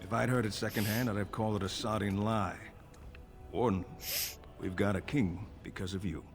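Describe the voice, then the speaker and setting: A man's voice: lowish voice, steady tone, normal speed, soft background music, close to an everyday voice. A man playing a stoic, grim veteran dwarf soldier in a fantasy video game, solemn and plain-spoken. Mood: grave, impressed.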